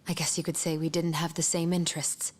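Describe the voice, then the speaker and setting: An actress playing a reserved, evasive schoolteacher in an English-dubbed game drama, terse and guarded with a soft, breathy voice. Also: female voice, low voice, flat delivery, fairly quick.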